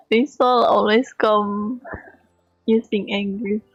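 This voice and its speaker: A woman chats with viewers as she streams a game, talking very slowly and often repeating words. Her voice is husky and strained from being hurt. It is a dry, dull lo-fi mic recording. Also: a little high, fairly bright tone, no room sound. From Indonesia. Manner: slow, halting, repeats words. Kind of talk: casual gameplay chat with viewers.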